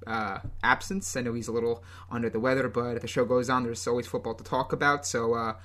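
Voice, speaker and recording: A young man from the United States co-hosts a casual soccer podcast in a mid-range voice. He talks in a relaxed, loose way, often pausing on ums and restarting his sentences. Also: mid-range voice. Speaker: podcast co-host.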